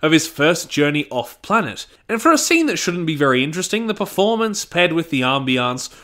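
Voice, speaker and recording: A man's voice: on the high side, very animated, fairly quick, pushed and bright. A man reading a scripted review of an audio drama in a casual, wry manner.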